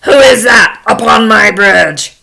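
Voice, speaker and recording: Storybook troll, acted by a woman: ugly bully, loud, menacing, bright forceful edge with some gravel. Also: fairly high pitch, expressive, slow pace, exaggerated voice. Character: horrible ugly bullying troll under a bridge.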